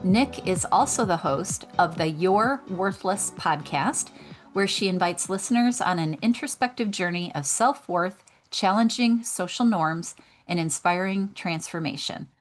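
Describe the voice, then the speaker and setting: A woman's voice: fairly low pitch, lively intonation, soft background music. A woman with a Michigan Midwest accent hosting a podcast for veterinarians, upbeat and effusive as she introduces and questions her guest. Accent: Midwest American, Michigan. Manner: upbeat and effusive, quick to praise. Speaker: podcast host, veterinary life coach.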